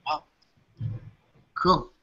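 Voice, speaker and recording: A man architect chatting casually on a video call, upbeat with quick asides. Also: bright, forceful tone. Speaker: architect, interviewee. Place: open-plan office. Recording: muffled video-call audio.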